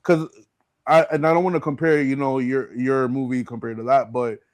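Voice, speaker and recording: A man's voice: medium pitch, steady tone, moderate pace, no room sound. A man hosting a casual chat, speaking in a slangy, informal and encouraging way. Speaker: podcast host.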